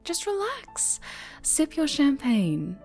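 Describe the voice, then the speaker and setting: Woman acting a slow, possessive dark-angel superheroine. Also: sits high, huge pitch swings, soft background music, heavily acted. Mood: sweetly threatening. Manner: slow, cooing, alternates breathy whispering with sweet menace. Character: possessive, sinister female superhero, dark angel, obsessively doting. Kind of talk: ASMR roleplay, intimate scripted acting.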